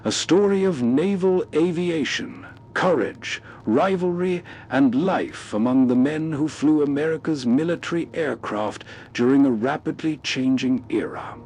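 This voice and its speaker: Higher-voiced man narrating as host. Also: a little slow, darkish tone, low-quality recording. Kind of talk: scripted narration. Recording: faint rumbling background noise.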